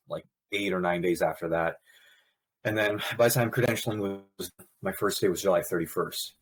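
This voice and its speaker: A man's voice: deep voice, breathy edge, rough recording. A man in his thirties, born in Pakistan and raised in Brooklyn, talking candidly as a podcast guest. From Pakistan, raised in Brooklyn, New York. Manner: candid, animated storyteller with quick laughs. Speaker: guest, nurse anesthetist. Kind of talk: podcast interview.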